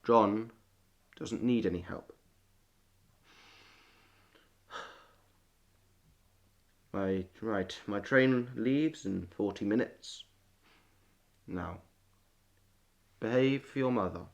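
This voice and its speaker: A man's voice: lowish voice, takes it slowly, vocal fry, pauses often. An actor playing a stern, authoritative father of a farming family in a wartime audio drama, speaking curtly. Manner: authoritative, curt.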